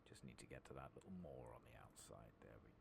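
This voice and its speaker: A man doing soft-spoken ASMR game commentary, murmuring casually to himself, swearing mildly and slipping in and out of whispers. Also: extremely low pitch, fairly even intonation, quick pace. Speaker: gaming streamer. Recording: clearly noisy background with clicking.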